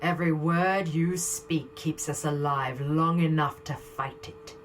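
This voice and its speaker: Woman playing a low, measured steely resistance leader. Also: steady tone, slight background noise. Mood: firm, persuasive. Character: steely female resistance leader, calculating and resolute. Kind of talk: sci-fi audio drama acting.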